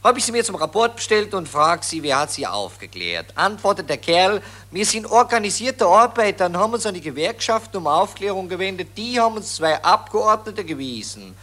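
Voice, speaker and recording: A smug, callous Viennese army officer in an old radio drama. The voice is bright and sharp, swinging widely in pitch as he tells his boastful anecdotes in loose dialect on a vintage recording. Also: very animated, a little fast, hardly any pauses, heavily acted. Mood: boastful, indignant. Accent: Viennese Austrian. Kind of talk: 1940s German radio play acting.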